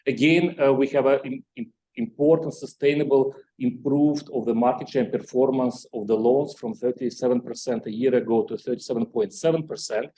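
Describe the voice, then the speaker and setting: A man who is a non-native English speaker, presenting financial results as a company executive in an explanatory, measured way. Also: medium pitch, steady tone, mellow, poor audio. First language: not English. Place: conference call.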